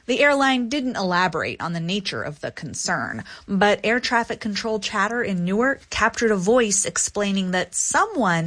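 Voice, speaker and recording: A woman's voice: mid-range voice, a bit bright. A woman reporting a light news story with wry, playful emphasis. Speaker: news correspondent.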